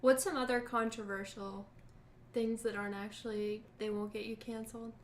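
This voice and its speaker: Southern US woman, higher voice, blunt and teasing in relaxed banter. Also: normal speed, a little hiss or hum. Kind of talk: casual chat.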